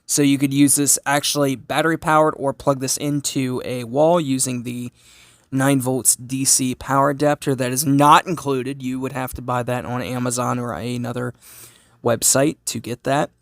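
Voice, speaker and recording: A man's voice: medium pitch, flat delivery, moderate pace, no echo, clean studio sound. A man giving a casual, unscripted hands-on product review in an explanatory way, as a hobbyist. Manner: explanatory, asks and answers own questions. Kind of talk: casual unscripted gadget demonstration. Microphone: phone camera held while demonstrating.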